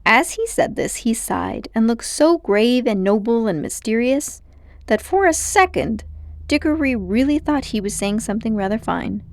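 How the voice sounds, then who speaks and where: A woman's voice: a little high, very animated, normal speed, no room sound. A woman storyteller reading a children's book aloud as narration.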